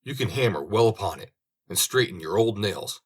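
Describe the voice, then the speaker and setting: A man as a sly, merry tradesman, husky. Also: lowish voice, expressive, moderate pace. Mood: persuasive. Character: merry, sly male scissors grinder peddling his trade.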